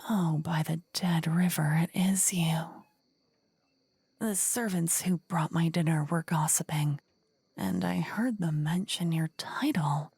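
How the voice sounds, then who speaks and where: A woman playing an indignant, blunt young necromancer rival in a fantasy ASMR roleplay, hushed and insistent. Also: sits low, steady tone, takes it slowly, vocal fry, slightly rough, dry recording, exaggerated voice. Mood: angry. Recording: close dry ASMR microphone.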